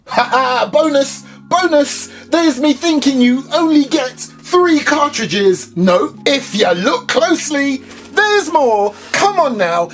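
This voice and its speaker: Chatty British man, unhurried. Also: sits high, fairly bright tone. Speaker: airsoft reviewer. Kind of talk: unboxing review.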